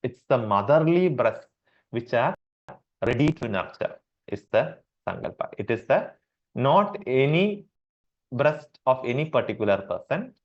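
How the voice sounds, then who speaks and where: A man with an Indian accent teaching a class on Sanskrit verses, repeating each term slowly with many fillers. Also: medium pitch, sing-song, muffled recording. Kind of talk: explanatory class lecture.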